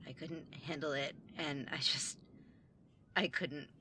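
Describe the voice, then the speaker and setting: A woman's voice: low-pitched, steady tone, takes it slowly, noisy background. A woman playing a guarded, blunt but forgiving younger sibling in a naturalistic audio drama. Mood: vulnerable, hurt.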